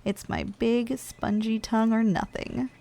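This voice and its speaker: A woman's voice: on the high side, steady tone, a little slow, warm tone. A woman voicing a playful, lazy giantess office worker in an intimate ASMR roleplay, coaxing and casually condescending as she speaks straight to the listener.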